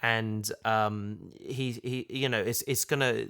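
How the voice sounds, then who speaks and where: A man chatting casually and thoughtfully, feeling his way through ideas in halting phrases. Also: on the low side, fairly even intonation, gravelly, pushed and bright, clear, resonant tone. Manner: halting, thoughtful, repeats himself. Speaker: podcast host, audio drama voice actor. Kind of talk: casual chat, discussion.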